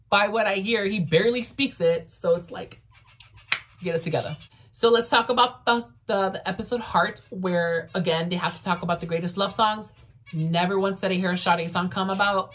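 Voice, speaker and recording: A woman's voice: medium pitch, a bit bright, breathy edge. A woman whose first language is Spanish, chatting casually and outspokenly in a TV review vlog from her bedroom, filmed by a camera on the desk. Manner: sassy, outspoken, lots of fillers. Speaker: vlogger reviewing a TV series. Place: bedroom, indoors.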